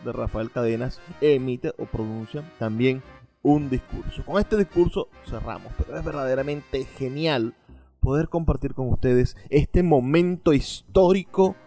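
A man's voice: medium pitch, a little slow, vocal fry, mellow. A man with a Venezuelan accent hosting a literary radio show, commenting in an effusive, admiring way. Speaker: radio host. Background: music.

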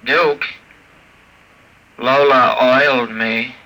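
An actor playing a childlike, obsessive male robot with a harsh, droning, flat delivery in an old radio drama. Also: medium pitch, poor audio. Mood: obedient, childlike. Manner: flat, slow, droning repetition. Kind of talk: 1950s radio drama acting. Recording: hissy old radio recording.

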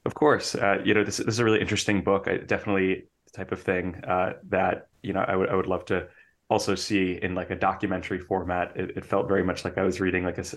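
Male interviewer, very deep voice, podcast host, conversational interview delivery.

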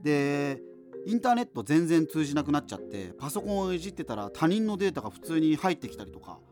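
Rambling amateur radio host, lively pitch swings. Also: male voice, quick pace, over music. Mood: chatty, rambling. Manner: rambling, self-interrupting, digressive, casual asides. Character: rambling male amateur solo radio host in doomed 2039. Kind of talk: conversational radio monologue in a scripted radio drama.

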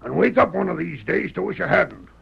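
Gravelly, menacing Western sheriff. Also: male voice, sits high, expressive, fairly quick, exaggerated voice. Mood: threatening. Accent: American Western drawl. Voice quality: gravelly, raspy. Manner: blustering, threatening. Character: crooked, menacing Old West sheriff. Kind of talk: old-time radio western acting. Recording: dull, muffled old radio recording.

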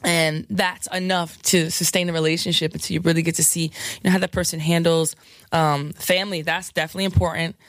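A woman DJ with a scratchy, hoarse voice, chatting frankly in an interview with lots of fillers and laughs. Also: on the low side, little pitch movement, a little fast, no room sound. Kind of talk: candid casual interview talk.

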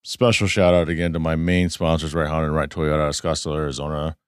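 A man hosting a casual podcast, hoarse and losing his voice, talking in a loose, rambling way with some swearing. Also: rumbling low, flat delivery, fast, no echo, studio quality. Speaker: podcast host. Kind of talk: casual chat. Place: studio.

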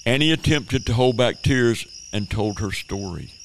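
A man narrating a radio drama in a measured, even storytelling manner. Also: mid-range voice, noisy background. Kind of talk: scripted narration.

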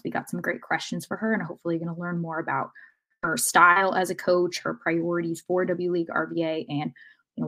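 A woman co-hosting a sports podcast, talking casually in an articulate, explanatory way. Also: lowish voice. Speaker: podcast co-host and interviewer.

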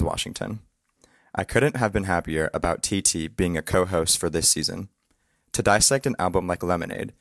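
A man's voice: low voice, vocal fry, a bit bright, no room sound, studio quality. A man leaving an appreciative recorded listener message.

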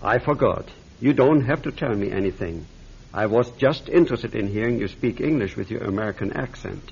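An actor playing a cunning, friendly-seeming officer who speaks English in a vintage radio drama. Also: male voice, lowish voice, lively intonation, brisk, vocal fry, breathy edge, highs cut off, full character voice. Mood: coaxing. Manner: friendly, coaxing, quietly manipulative. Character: smooth, cunning German officer interrogator. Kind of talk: 1940s radio acting.

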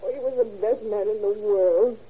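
An actress playing a grieving, nervous widow who stammers breathlessly, in 1940s radio drama style. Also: female voice, mid-range voice, moderate pace, phone-quality sound, a little hiss or hum, close to an everyday voice. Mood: distraught.

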